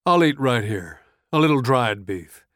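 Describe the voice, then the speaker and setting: A man's voice: medium pitch, animated, unhurried, a bit bright, no echo, studio quality. A man voicing a shy army general in an audiobook, with terse, understated speech. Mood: dry, restrained.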